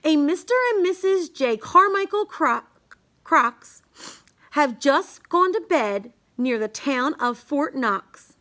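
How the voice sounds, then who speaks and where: High, lilting woman reading a children's storybook. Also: animated, slow pace, pushed and bright. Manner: sing-song, emphatic storytelling. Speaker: children's book reader. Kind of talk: read-aloud of a rhyming children's book.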